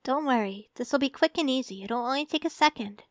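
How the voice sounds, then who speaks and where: Casting: actress as sweetly obsessive young female champion for ASMR roleplay; high voice, coaxing and teasing, close dry sound. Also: animated, brisk, no room sound. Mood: caring, cheerful. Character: tall, doting, teasing young woman Pokemon champion, secretly obsessive.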